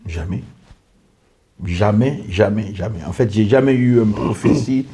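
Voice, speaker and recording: Earnest pastor. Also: male voice, on the low side, a little slow, warm tone. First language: English. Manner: earnest, repetitive, lists in short clauses. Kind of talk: testimony in a podcast conversation.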